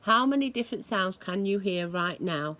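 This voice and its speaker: A woman reading a children's story aloud in a deliberate, expressive way, imitating the sounds it describes. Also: medium pitch. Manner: deliberate, acts out noises expressively.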